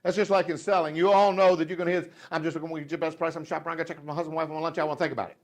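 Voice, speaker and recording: A man in his fifties with a Southern accent, giving a folksy, joking live speech to a room of salespeople, swinging between loud and hushed. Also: a little high, flat delivery, very fast. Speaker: motivational sales speaker. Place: dealership meeting room.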